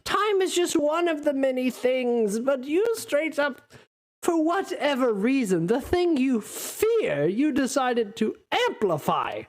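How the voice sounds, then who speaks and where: A man running a tabletop roleplaying game as dungeon master, animated and quick to voice the characters' reactions. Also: extremely high pitch. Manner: animated, voicing NPC reactions.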